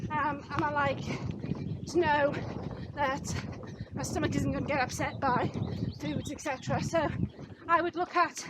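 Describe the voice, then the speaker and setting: A woman's voice: high-pitched, fairly even intonation, measured pace, very noisy. A woman in her fifties from Wales, co-hosting a running channel and chatting casually to camera about her goals. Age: 50s.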